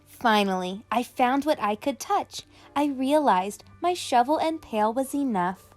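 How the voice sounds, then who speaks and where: A woman's voice: on the high side, lively intonation, measured pace, faint music behind. A woman hosting a children's read-aloud, speaking expressively and directly to young listeners.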